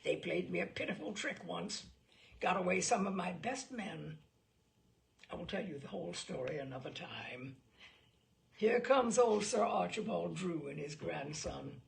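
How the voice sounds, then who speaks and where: A woman's voice: on the low side, lively intonation, a little slow, vocal fry, voice pushed down, full character voice. A woman voicing a bluff, hearty, chatty older male admiral in an audiobook, blustery and rambling with emphatic delivery. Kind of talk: audiobook character dialogue.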